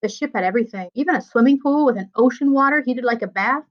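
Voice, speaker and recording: Lady librarian reading a kids book out loud, expressive, kinda high voice, dull lo-fi recording. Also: fast talker. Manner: expressive, acts out dialogue. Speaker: school librarian reading aloud. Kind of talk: children's story read-aloud. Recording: dull lo-fi sound.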